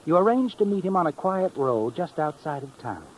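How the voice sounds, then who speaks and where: An actor playing the ominous, all-knowing narrator of a 1950s radio crime tale, dramatic and insinuating. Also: male voice, a little high, sing-song, normal speed, a little hiss or hum, low-quality recording. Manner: insinuating, dramatic, with leading rhetorical questions. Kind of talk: 1950s radio suspense narration in second person. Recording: old radio recording with music underneath.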